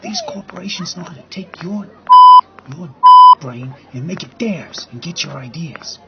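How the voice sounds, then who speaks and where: Slangy conspiracy ranter played by a man, rambling and agitated, mid pitch that jumps around, muffled under heavy noise. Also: lively intonation, a little slow. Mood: crude, ranting. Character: ranting, crude, slangy man in a car arguing conspiracies. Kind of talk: scripted audio drama, casual dialogue. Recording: dull recording with loud background noise.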